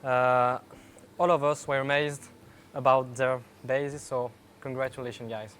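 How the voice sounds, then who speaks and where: A man's voice: mid-range voice, flat delivery, measured pace, a bit bright, slight background noise. A man co-hosting a live event in a casual, conversational way. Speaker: co-presenter.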